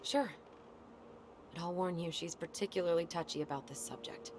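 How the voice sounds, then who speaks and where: A woman's voice: on the low side, moderate pace, darkish tone, a little hiss or hum, lightly acted. A woman playing a guarded, exasperated young adult sister in naturalistic video game dialogue.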